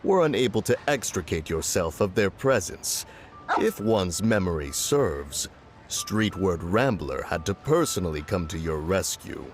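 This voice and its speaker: Grave ancient adeptus; low and formal, measured archaic delivery. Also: male voice, very animated, music in the background. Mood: composed. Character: ancient solemn male adeptus in human guise. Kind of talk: game voice acting.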